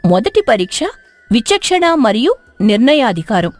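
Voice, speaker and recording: A woman's voice: on the high side, very animated, moderate pace, soft background music. A woman working as a narrator, reading a scripted story.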